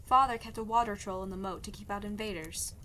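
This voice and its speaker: A woman's voice: mid-range voice, fast talker, sharp tone, a little hiss or hum, close to an everyday voice, rough recording. A woman playing a guarded, exasperated young princess in an audio drama, with a blunt, dry, doubtful way of talking. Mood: resigned, dry. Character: skeptical, exasperated young princess fleeing arranged marriage, guarded. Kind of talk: scripted audio drama acting.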